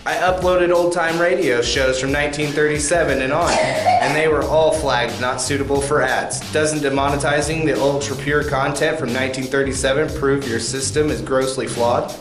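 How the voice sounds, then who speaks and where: An incredulous male uploader acted by a man protests in a comedy sketch. His medium-pitched voice echoes, with music clearly audible behind. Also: steady tone, moderate pace, close to an everyday voice.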